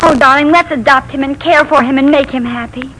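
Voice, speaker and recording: A woman's voice: high-pitched, fairly quick, noisy background, natural voice. Woman playing a fretful, whiny invalid wife. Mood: tender. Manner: whiny, fussy, haughty. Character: fretful invalid wife who rules with tears. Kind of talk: 1940s radio acting.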